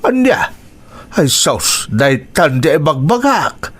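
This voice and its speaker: A man's voice: fairly high pitch, sing-song, slow, fairly bright tone, breathy edge, heavily acted. An actor with an Ilocano accent playing a concerned older grandfather in a radio drama, in an exclamatory, conversational manner. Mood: concerned, exasperated.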